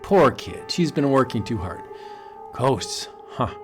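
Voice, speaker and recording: A man's voice: mid-range voice, very animated, slow pace, over music. A man voicing a brash young male police detective in an old cartoon, breezy and wisecracking. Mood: jittery.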